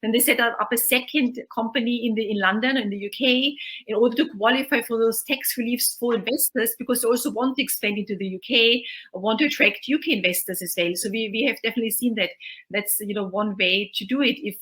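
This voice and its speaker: A woman's voice: fairly high pitch, fast talker, a bit bright, low-quality recording. A woman from Austria, hosting a panel discussion in a chatty way, often filling in with "you know". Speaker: host and moderator. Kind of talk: webinar panel moderation.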